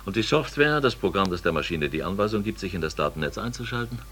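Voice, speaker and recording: Bright, deep-voiced sardonic sceptic. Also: male voice, lively intonation, fast. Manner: sardonic, pointed. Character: sceptical, sardonic man tallying up costs. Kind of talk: radio feature dialogue, scripted. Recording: hummy, noisy old cassette.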